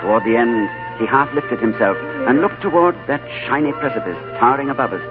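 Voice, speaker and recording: A male actor as an urbane, understated adventurer in a 1940s radio play. His delivery is courteous and composed, with widely moving intonation. The broadcast is tinny and narrow, with loud music behind. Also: mid-range voice, lively intonation, quick pace, natural voice. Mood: grave, awed narrating. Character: calm, urbane English diplomat adventurer, narrating his tale.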